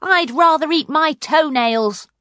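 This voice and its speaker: A woman's voice: medium pitch, steady tone, slow pace, no echo. A woman voicing a petulant, dramatic young princess with a whiny, indignant manner in a storybook. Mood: defiant, furious.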